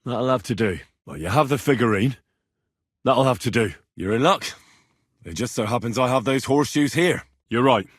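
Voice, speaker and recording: A man's voice: medium pitch, lively intonation, normal speed, breathy edge, no echo, studio quality. A British man acting a plain-spoken young medieval servant and adventurer in a video game, earnest and conversational. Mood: matter-of-fact. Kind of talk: scripted game character dialogue.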